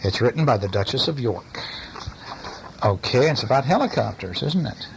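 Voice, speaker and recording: A middle-aged man, a father chatting and reading casually to a child in a gentle, playful way, often asking little questions. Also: mid-range voice, lively intonation, moderate pace, gravelly. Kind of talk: casual chat and bedtime story reading to a child. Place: at home, lying down beside a child. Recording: noisy amateur home recording.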